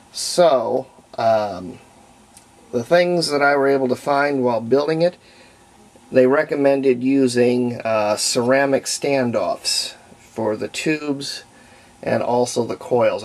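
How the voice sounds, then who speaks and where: A middle-aged American man giving a casual, unscripted show-and-tell as a hobbyist, in a halting, folksy way, often trailing off mid-phrase. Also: mid-range voice, a little mellow. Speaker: hobbyist presenter demonstrating a homebuilt radio. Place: indoors at a cluttered hobby desk. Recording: faint background noise.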